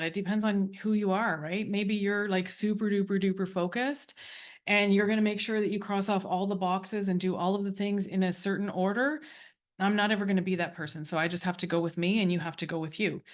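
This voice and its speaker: A woman hosting a group coaching call, talking in a bubbly, quick, talkative way. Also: mid-range voice, fairly even intonation. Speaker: course host.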